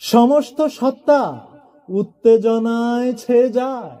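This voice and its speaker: A man with a high, mellow voice recites poetry solemnly. He moves extremely slowly, setting each phrase down deliberately. Dramatic pauses separate the measured phrases.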